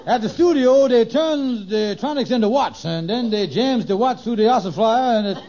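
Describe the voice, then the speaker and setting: An actor playing a blustering, hen-pecked middle-aged husband in an old radio sitcom, pompously muddling big words with folksy grammar. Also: male voice, very high-pitched, normal speed. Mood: confident, pompous. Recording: noisy, muffled vintage radio recording.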